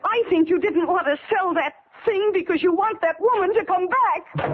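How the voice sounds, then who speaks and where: A woman's voice: extremely high pitch, normal speed. An actress playing a fretful, jealous woman with an emotional, scolding manner in 1940s radio drama. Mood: exasperated, jealous. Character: fretful, jealous, exasperated female partner. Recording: muffled, noisy old radio recording.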